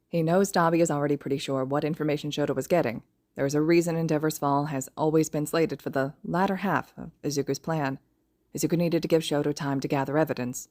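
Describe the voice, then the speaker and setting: A woman narrating a fan fiction audiobook in steady, even reading. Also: deep voice, brisk. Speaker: podfic reader.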